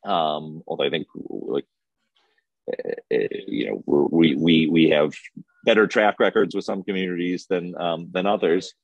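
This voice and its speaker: A man's voice: low voice, unhurried, gravelly, no room sound. A man answering informally as an interview guest, hesitant and often repeating words as he searches for the next, on dull audio. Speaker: film festival organiser, interview guest.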